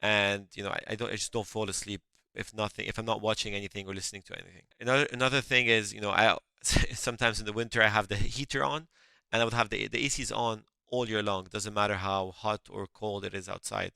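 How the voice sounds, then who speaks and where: Gravelly man with a French first language. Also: lowish voice, fairly even intonation, quick pace, sharp tone, no room sound. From France. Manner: earnest, repeats words and restarts. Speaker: guest, company founder. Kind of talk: casual interview answers.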